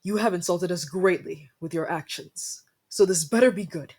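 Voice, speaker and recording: A man playing a haughty, easily flustered young winter prince in a fantasy ASMR roleplay, speaking formally and imperiously with a breathy voice. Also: high-pitched, moderate pace. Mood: stern, formal.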